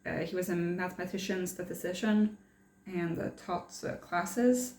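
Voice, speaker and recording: A woman's voice: lowish voice, moderate pace, a little mellow, reverberant. A young woman from France chatting casually in a personal vlog, rambling and hesitant with many fillers and restarts. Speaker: vlogger.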